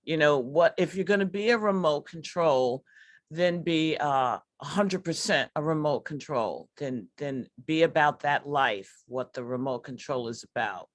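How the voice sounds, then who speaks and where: A woman teaching artist reflecting in a discussion, emphatic but pausing often to search for words and repeat phrases. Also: low-pitched, dry recording. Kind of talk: reflective post-show discussion.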